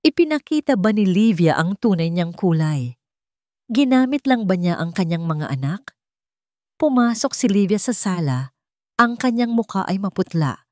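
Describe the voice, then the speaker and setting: A woman reads a dramatic scripted story in a somewhat low voice. Her delivery is measured, with pauses for weight. The sound is dry, with very little room in it. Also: a little mellow, no echo. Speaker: story narrator.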